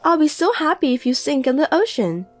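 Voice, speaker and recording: A woman's voice: sits high, sing-song, brisk, faint music behind, voice pushed up. A woman doing a gloating, mocking mother. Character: scornful mother, middle-aged, sharp-tongued.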